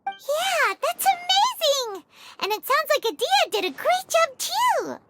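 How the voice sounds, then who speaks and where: Tiny fairy companion, video game, extremely high squeaky voice, animated, bubbly, childlike, exclamatory. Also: female voice, slow pace, full character voice. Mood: cheerful. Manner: bubbly, exclamatory, refers to self in third person.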